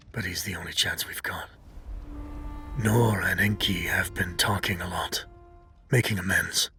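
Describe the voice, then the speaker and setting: Game role: reflective soldier narrator, deep and hushed, over prominent music. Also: male voice, recorded from a distance, full character voice. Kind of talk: in-character narration in a video game.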